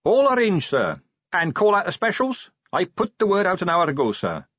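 A man's voice: sits high, huge pitch swings, moderate pace, a bit bright, no echo, voice pushed up, full character voice. A man doing an earnest, eager young captain. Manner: earnest, eager, polite "sir"s. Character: earnest young male watch captain, dutiful. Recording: dry, slightly dull close-mic.

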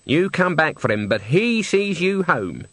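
A man reading a storybook, voicing a stern old witch woman with a brisk, imperious way of talking. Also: a little high, big pitch swings, a bit bright, dry recording, pitched above the performer's own voice, full character voice. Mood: firm, questioning.

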